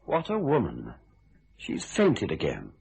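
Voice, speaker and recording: Suave, mocking villain, swooping low voice. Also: male voice, huge pitch swings, slow pace, creaky, loud music behind the voice, heavily acted, poor audio. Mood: mocking, jovial. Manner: urbane, drawling, theatrical. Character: suave, mocking, callous husband back from death. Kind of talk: radio thriller acting.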